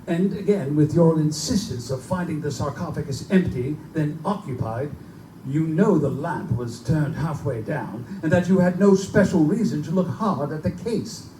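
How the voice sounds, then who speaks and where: A man performs a scholarly, skeptical English professor in a live gothic play. The voice is soft-edged and the phrasing formal and measured. It sounds distant in a strongly echoing space. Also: fairly high pitch, lightly acted. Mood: sober reasoning. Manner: formal, reasoning, articulate. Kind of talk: live stage reading of gothic horror drama. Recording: echoey live bookstore recording from afar, faint noise.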